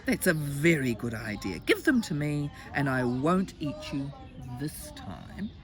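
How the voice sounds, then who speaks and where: A woman's voice: sits low, big pitch swings, unhurried. A woman voicing a vain, threatening male tiger in a children's storybook. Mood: won over. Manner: boastful, threatening. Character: grand, menacing, vain male tiger, easily persuaded.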